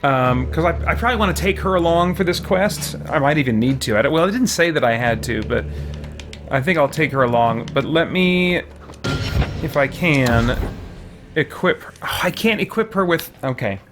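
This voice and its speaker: A man gives relaxed, casual commentary while playing a game. He thinks aloud and hesitates as he weighs things up, and prominent game music plays behind his voice. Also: fairly high pitch, moderate pace. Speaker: let's play host.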